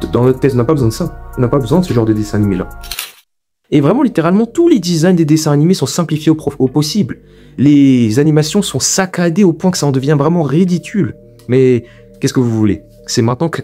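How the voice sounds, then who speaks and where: A man's voice: medium pitch, dark tone. A young man hosting a video essay, giving informal, opinionated commentary in an emphatic, repetitive, colloquial way.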